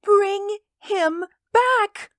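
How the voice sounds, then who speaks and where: A man voicing a frantic, grieving old woman in a storybook reading, shrill, wild and pleading. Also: animated, slow pace, dark tone, no room sound, clean studio sound, full character voice. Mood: feverish insistence.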